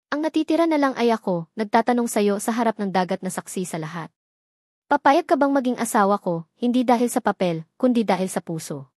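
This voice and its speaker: A woman's voice: a little high, dry recording, clean studio sound. A woman reading the lines of a tender young man in love, speaking in an earnest, heartfelt way. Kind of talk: storybook dialogue reading.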